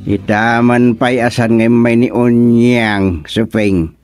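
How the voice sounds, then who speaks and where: An actor with an Ilocano accent playing an inquisitive, excitable village chairman in a radio comedy-drama. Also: medium pitch, takes it slowly, soft background music, natural voice. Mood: explaining.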